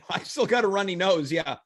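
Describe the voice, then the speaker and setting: A male host with a noticeably high voice talks loosely and playfully, piling up self-mocking jokes. He sounds congested from a lingering cold. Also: lively intonation, normal speed, fairly bright tone. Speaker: talk show host. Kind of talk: casual comedic chat.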